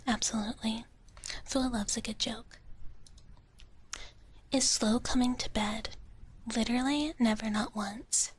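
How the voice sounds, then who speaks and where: A woman voicing a gentle, naive dragon big sister in a soft breathy whisper, rambling hesitantly to viewers in a live ASMR stream. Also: fairly high pitch, steady tone, measured pace, long pauses. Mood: playful, musing. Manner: hushed, refers to herself in third person, hesitant rambling. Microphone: close ASMR microphone.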